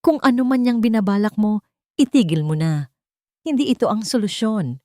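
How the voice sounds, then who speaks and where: An earnest, insistent man from an audiobook melodrama, acted by a woman narrator. The delivery is urgent and pleading, in a mellow timbre with a close, dry sound. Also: mid-range voice, animated, moderate pace, no room sound, voice pushed up, natural voice. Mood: desperate pleading. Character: earnest, pleading man confronting his lost love.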